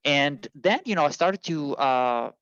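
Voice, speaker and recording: A man from Israel, an immigration consultant, answering interview questions in a halting way with many fillers and restarts. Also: a little high, animated, a bit bright, clear, resonant tone, dry recording, muffled recording.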